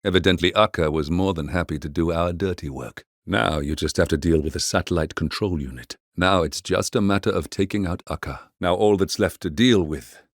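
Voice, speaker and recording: Brooding game mercenary handler, very low voice, measured, dry wit, close dry studio sound. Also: male voice, no room sound. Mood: quiet satisfaction. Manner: measured, understated, dryly wry. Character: composed, dry, brooding male mercenary handler, middle-aged. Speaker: mission handler. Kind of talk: scripted video game voice acting, mission briefing.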